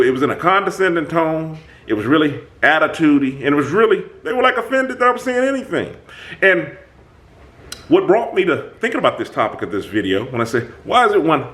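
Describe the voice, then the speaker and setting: Host, male, booming voice, very animated intonation, talks to camera in an emphatic, reflective style. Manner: animated, emphatic, many restarts. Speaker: channel host. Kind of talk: reflective self-talk monologue.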